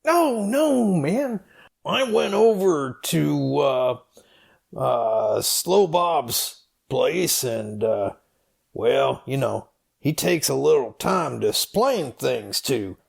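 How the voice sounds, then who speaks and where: Man doing a very gravelly, rambling comic storyteller. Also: on the high side, big pitch swings, voice pushed up, heavily acted. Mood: evasive, meandering. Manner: hesitant, halting, meandering. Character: rambling slow-witted folksy comic storyteller El Dub. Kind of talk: comedy skit read as dialogue.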